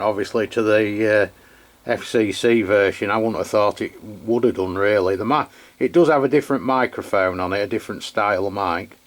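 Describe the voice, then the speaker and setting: A man chatting casually as a CB radio operator. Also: lowish voice. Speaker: radio review channel host, CB operator. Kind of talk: casual two-way radio chat.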